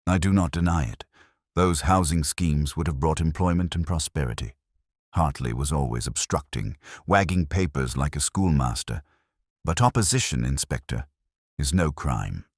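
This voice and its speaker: A man's voice: a bass voice, animated, normal speed, no echo, clean studio sound, heavily acted. A man voicing a pompous, blustering small-town mayor in an audiobook mystery, grumbling with ponderous indignation. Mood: indignant.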